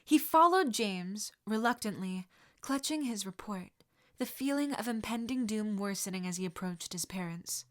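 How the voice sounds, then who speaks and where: A woman reading fan fiction aloud as an audiobook narrator, steady and expressive. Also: medium pitch, moderate pace. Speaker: podfic reader.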